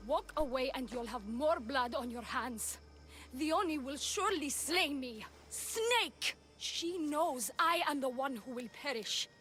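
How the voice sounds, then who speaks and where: A high-voiced, imperious priestess. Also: female voice, expressive, unhurried, pushed and bright, a little hiss or hum, full character voice. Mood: desperate, commanding. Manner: formal, commanding, theatrical. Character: proud, imperious ancient Greek high priestess, fiercely dramatic. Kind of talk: video game cutscene acting.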